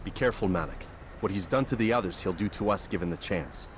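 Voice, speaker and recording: An actor playing a stern, resolute medieval assassin, terse and commanding, in a dramatic video game cutscene. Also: male voice, fairly low pitch, fast, slight background noise, natural voice.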